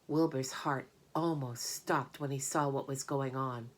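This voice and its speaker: A woman narrating a children's book in an expressive read-aloud manner. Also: very deep voice, measured pace. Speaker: storybook narrator.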